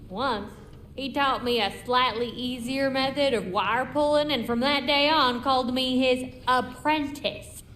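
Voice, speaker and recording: A woman's voice: sits high, fairly even intonation, slow, fairly bright tone, slight background noise. A woman playing a folksy, plain-spoken female dwarf smith in a video game drama, informal in manner. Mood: fond remembrance. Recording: roomy echo under music.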